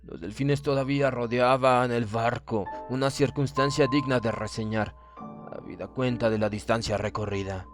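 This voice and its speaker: A man reading a horror story as a dramatic audiobook narrator, in the role of a stern German submarine officer, with measured, theatrical delivery. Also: mid-range voice. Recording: narration over clear background music. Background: music.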